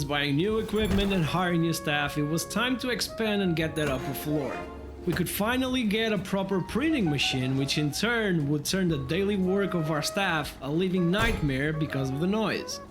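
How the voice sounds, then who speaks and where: A man reviewing a video game in a scripted, comedic narration with a wry, tongue-in-cheek manner. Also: fairly high pitch. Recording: music behind the voice. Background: background music.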